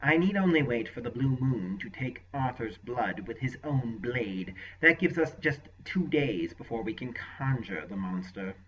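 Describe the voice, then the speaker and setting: A man voicing a scheming male sorcerer with a deeply nasal, unctuous, smug manner in an audiobook. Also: lively intonation, normal speed, pushed and bright, recorded from a distance. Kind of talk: audiobook character voice. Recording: lo-fi dull recording.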